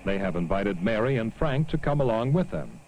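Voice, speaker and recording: A man's voice: fairly low pitch, sing-song, brisk, a little mellow, slight background noise, poor audio. A man reading scripted narration in the style of an educational film.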